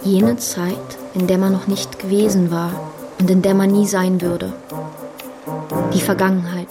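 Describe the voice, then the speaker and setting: An actress in a literary radio drama, playing a narrator who lists fragments of collective memory in clipped, deliberate phrases. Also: female voice, medium pitch, level tone, lightly acted. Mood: terse, sober. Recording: music bed behind the voice.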